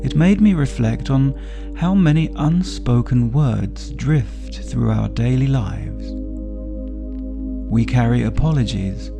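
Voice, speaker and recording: A man narrating a sleep story for adults as a storyteller, with a soothing, gentle, unhurried delivery. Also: medium pitch, gravelly. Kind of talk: slow bedtime story narration.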